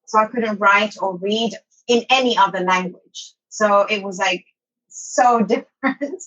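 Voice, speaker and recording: A woman's voice: medium pitch, unhurried, a bit bright. Hesitant woman guest from Somalia, lo-fi. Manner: hesitant, with many ums and restarts. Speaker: interview guest, English teacher. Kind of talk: telling her life story in an interview.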